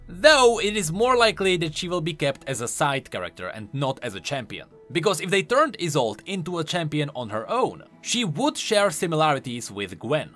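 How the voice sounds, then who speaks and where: A man with a fairly high, forceful voice delivers game lore commentary. His pitch swings in a very animated way as he explains each point emphatically. Faint music plays underneath.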